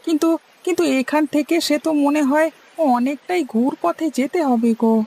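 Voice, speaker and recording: A woman voicing an eager young male boatman's helper in a cartoon story, respectful, questioning and slightly fretful, with a creaky voice. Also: sits high, moderate pace, dark tone. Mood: questioning, unsure. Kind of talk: Bengali cartoon story dialogue. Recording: faint background noise and ambience.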